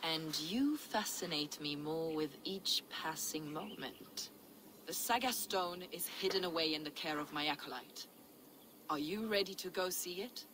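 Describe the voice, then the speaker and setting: A deep female voice, playing a devout and secretive heretic in a game. She speaks in measured, archaic riddles, with clear ambience behind her. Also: noisy background. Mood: reverent. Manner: measured, cryptic, archaic phrasing. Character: enigmatic, devout heretic woman, collector of sacred relics. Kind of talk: dramatic video game acting.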